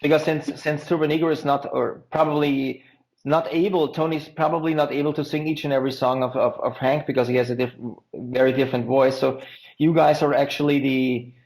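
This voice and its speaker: Gravelly man asking halting questions. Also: mid-range voice, fairly even intonation, a little mellow, poor audio. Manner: halting, stumbles over words. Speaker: podcast host. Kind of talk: interview questions.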